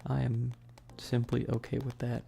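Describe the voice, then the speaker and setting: A livestream host chatting casually and informally in a soft, close whispery ASMR delivery into a binaural mic, rambling with teasing asides to chat. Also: mid-range voice, level tone, moderate pace, gravelly, husky. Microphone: close binaural microphone. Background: tapping and keyboard clicking.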